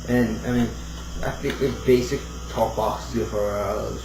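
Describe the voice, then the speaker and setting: A man giving a casual, unscripted hobbyist demo, rambling and thinking out loud as he goes. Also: on the low side, fairly even intonation, normal speed, over music. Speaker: hobbyist demonstrating a homemade build. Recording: roomy echo, clear background noise.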